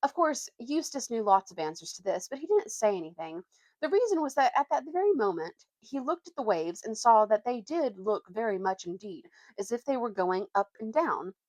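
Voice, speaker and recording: A woman reading a children's adventure book aloud as an expressive storyteller. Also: a little high, normal speed, no echo.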